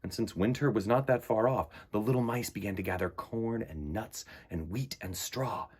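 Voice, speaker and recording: A man hosting a children's storytime, speaking and reading aloud with enthusiastic, theatrical emphasis and a husky voice. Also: lowish voice, normal speed, a little mellow. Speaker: host of a children's storytime show. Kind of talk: animated read-aloud and chat for children.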